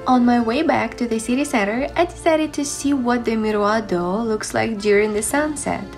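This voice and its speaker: A woman's voice: a little high, normal speed. A young woman reading a scripted voice-over as the narrator of a travel guide. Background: background music.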